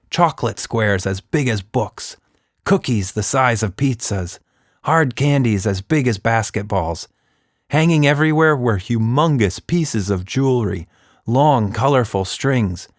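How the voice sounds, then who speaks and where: A man's voice: medium pitch, dry recording. A man narrating a children's story expressively as a podcast host, recorded close to the mic.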